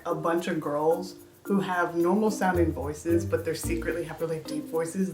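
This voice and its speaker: Deep-voiced young woman, dry humor. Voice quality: deep contralto voice. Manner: dry, self-deprecating humor. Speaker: YouTuber. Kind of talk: casual vlog monologue. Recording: echoey room, mic at a distance, music behind.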